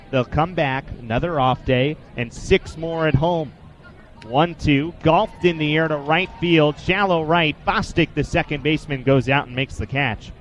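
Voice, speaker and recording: A man in his twenties doing live baseball play-by-play for radio, in a measured, descriptive way with statistics between pitches. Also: slight background noise. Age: 20s. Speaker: play-by-play sportscaster.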